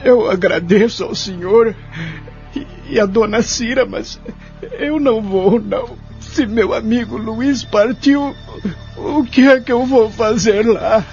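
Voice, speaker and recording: An actor playing a lonely, sorrowful elderly care-home resident in an audio drama, speaking in a measured, plaintive way. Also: male voice, high voice, creaky, a little mellow, heavily acted. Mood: shaken, stubborn. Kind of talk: audio drama narration and dialogue. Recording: dull lo-fi audio with music behind.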